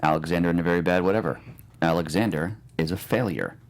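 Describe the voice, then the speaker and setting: A man hosting a relaxed quiz on a podcast, joking and rambling with lots of filler words. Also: low voice, quick pace. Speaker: host running a movie title quiz. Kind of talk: casual podcast banter.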